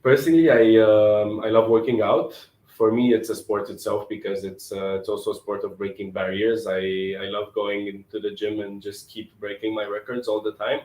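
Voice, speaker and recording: Young man from Romania, low-pitched voice. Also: level tone, moderate pace, few pauses, a little mellow, roomy sound, a little hiss or hum, poor audio. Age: 20s. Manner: thoughtful, repeats words as he searches for them. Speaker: intern interviewee. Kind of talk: casual interview answers.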